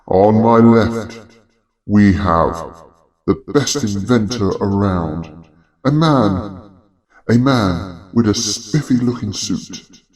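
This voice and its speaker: A man voicing a grandiose game master who announces an arena battle in a drawn-out ringmaster manner for an audio story. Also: on the low side, a little mellow, distant mic, pitched below the performer's own voice. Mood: grand, theatrical.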